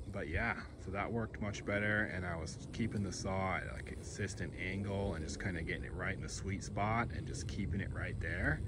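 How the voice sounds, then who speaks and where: A young man casually narrating his backyard work for his channel, relaxed and rambling with lots of ums. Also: sits low, background noise. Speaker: video creator. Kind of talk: casual narration while working. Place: outdoor backyard.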